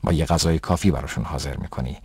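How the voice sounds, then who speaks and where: A man playing a patient, pious father who explains rules in a measured, didactic way in an educational cartoon. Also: extremely low pitch, a little mellow, slightly rough, no echo, studio quality, heavily acted. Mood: instructive. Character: patient, pious father teaching his son religious rules. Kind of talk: dubbed educational cartoon dialogue.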